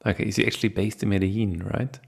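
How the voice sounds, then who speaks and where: A man's voice: lowish voice, level tone, fast talker, vocal fry, slightly rough. A man hosting a podcast interview in a conversational style over an online call. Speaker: podcast host.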